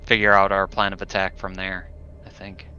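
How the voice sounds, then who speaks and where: A man's voice: low-pitched, completely flat delivery, moderate pace, sharp tone. A man playing a tabletop roleplaying game, talking casually in a tentative, hedging way. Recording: dull, faintly noisy room recording.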